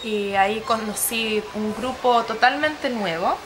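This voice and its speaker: A woman's voice: fairly high pitch, a little slow, background noise. A woman with a Chilean accent telling her personal story as an interviewee, reflective and often searching for words. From Sweden and Chile.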